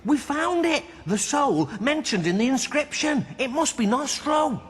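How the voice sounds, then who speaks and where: Very high, eager young scholar. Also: male voice, expressive, a little slow. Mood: excited. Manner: eager, earnest. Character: eager, earnest young scholarly guild acolyte.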